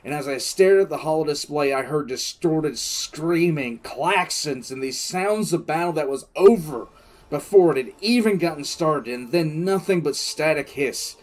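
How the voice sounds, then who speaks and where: Man acting a grim, higher-voiced military commissar. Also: animated, moderate pace. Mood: tense, haunted. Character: grim, shaken military commissar recounting a looming invasion. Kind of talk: dramatic first-person audio drama narration.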